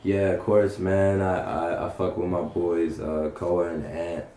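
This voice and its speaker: A young man from North Carolina chatting casually as an interviewed rapper, slangy, profane and halting. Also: low-pitched, fairly even intonation, mellow. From North Carolina, United States. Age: young adult. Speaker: punk rapper being interviewed. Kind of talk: casual chat. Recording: roomy echo, faint background noise.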